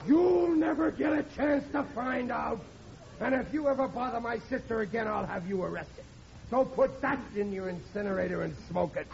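An American actor playing a blustery, suspicious, overprotective big brother in old-time radio comedy, flustered and prone to indignant stammering. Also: male voice, extremely high pitch, normal speed, creaky, a faint crowd. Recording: noisy, muffled old radio recording.

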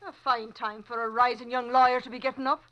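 An actress playing a brisk, bossy cleaning woman in a 1940s radio drama. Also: on the high side, flat delivery, dull audio, very noisy, natural voice. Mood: scolding. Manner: bossy, scolding.

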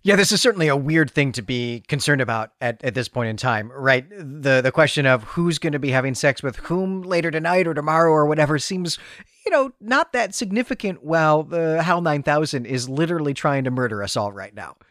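A man's voice: fairly high pitch, lively intonation, brisk, fairly bright tone, no room sound, studio quality. A man co-hosting a podcast, recapping and discussing fiction in an articulate, explanatory, enthusiastic way. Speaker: podcast co-host. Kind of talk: conversational literary recap and discussion.

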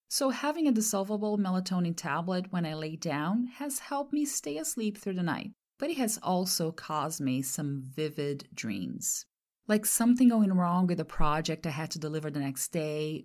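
A woman from Brazil, a native Portuguese speaker, hosts her own podcast and talks through a personal story. Her pitch rises and falls a great deal as she tells it. She speaks with expression, and her tone turns pointed when the story gets to a conflict. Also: a little mellow. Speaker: podcast host and translator. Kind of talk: personal monologue with an ad read.

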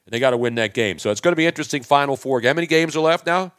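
A man's voice: medium pitch, lively intonation, very fast, fairly bright tone, smooth. An older man hosting a late-night talk show, rambling and joking loosely, often losing his words mid-sentence.

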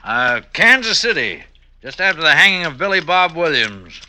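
An actor playing a sly, deferential, folksy Western sheriff in an old-time radio drama. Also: male voice, on the high side, sing-song, normal speed, pushed and bright, full character voice. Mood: obliging.

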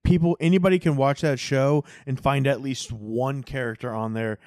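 A man's voice: mid-range voice, fairly even intonation, moderate pace, darkish tone, no room sound. A man co-hosting a podcast in casual chat, opinionated and emphatic, swearing freely. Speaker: podcast co-host.

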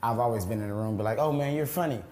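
A man's voice: mid-range voice, racing, darkish tone. A young man, a stand-up comedian from Los Angeles, chatting casually in an interview in a laid-back, rambling way. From Los Angeles, USA.

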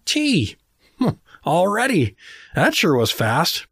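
A man's voice: sits high, huge pitch swings, slow, vocal fry, background noise, heavily acted. A man playing a chatty, oblivious middle-aged American dad who makes affable, corny small talk in an audio fantasy comedy. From rural South Dakota.

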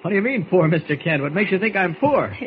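A man's voice: animated, fast. An actor playing a heroic, protective man in a 1940s radio serial, with a dramatic delivery. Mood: light, reassuring. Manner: dramatic, shifts between deep hero voice and lighter everyday voice. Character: heroic adult man in two guises, caring, protective. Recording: muffled, noisy old radio recording.

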